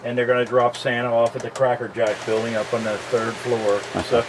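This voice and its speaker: Relaxed, rambling man reminiscing. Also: medium pitch, brisk. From Lebanon County, Pennsylvania. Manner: relaxed, rambling, many uh fillers. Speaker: model train collector, interviewee. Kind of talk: casual reminiscing conversation. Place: home basement with train layout. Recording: faint background noise.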